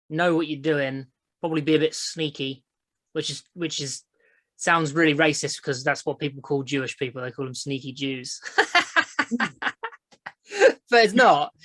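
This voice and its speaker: A woman's voice: deep voice, sharp tone, dry recording. A young woman, hosting a crude casual chat podcast, blunt and giggly as she rambles. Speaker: podcast host.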